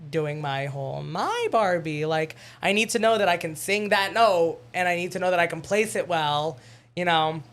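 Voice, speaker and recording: Chatty theatre actor with a shrill voice. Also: moderate pace. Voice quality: self-described shrill voice. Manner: chatty, filler-heavy, animated storytelling. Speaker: guest, musical theatre actor. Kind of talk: casual podcast chat.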